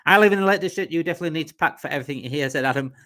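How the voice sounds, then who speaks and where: A man's voice: fairly high pitch, racing, a bit bright. Chatty Yorkshire man hosting a livestream. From Yorkshire, England. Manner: rambling and chatty, repeats himself, reads out viewer comments. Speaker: livestream host. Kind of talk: casual live-stream chat.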